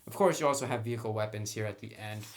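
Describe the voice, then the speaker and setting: A man's voice: on the low side, quick pace. A man giving a casual, unscripted review as he browses through a book, talking conversationally through each item.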